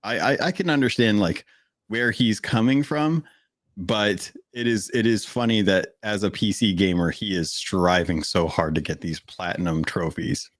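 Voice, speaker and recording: Deep, flat-voiced man in dry sound. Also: moderate pace, no room sound. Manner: steady, monotone delivery. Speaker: podcast co-host. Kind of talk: casual gaming podcast chat.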